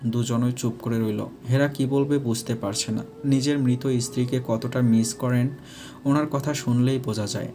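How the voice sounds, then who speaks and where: A man's voice: medium pitch, fairly even intonation, moderate pace, mellow. A man narrating a scripted romantic story.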